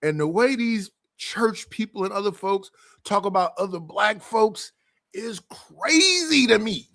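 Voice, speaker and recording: A man's voice: high voice, expressive, unhurried, a bit bright. A man giving heated, casual commentary as a livestream guest, profane and laughing between his points.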